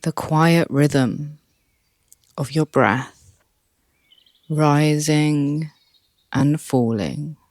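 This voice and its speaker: A meditation guide speaking calmly and softly, leading a relaxation session with long pauses. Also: mid-range voice, no echo. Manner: calm, soft, with long pauses.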